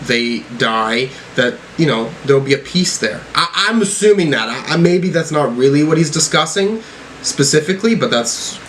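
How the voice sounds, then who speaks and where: A man talks through an album reaction in a casual, rambling way. His voice sits at a medium pitch, with swearing and frequent check-in phrases, over faint background noise. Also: a bit bright, low-quality recording. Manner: rambling, profane, frequently checking with "right?" and "you know". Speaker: music reaction reviewer.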